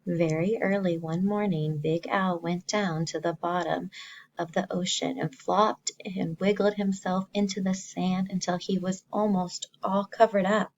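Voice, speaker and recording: A woman teacher reading a story to young children, expressively, pausing to explain simply and ask the listeners questions. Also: on the low side, fairly even intonation, lo-fi sound, a little hiss or hum.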